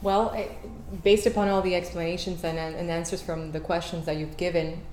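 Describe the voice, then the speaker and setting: A woman's voice: on the low side, fairly even intonation, normal speed, a little mellow. A woman interviewing a guest, asking long, winding questions full of restarts. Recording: echoey room with faint noise.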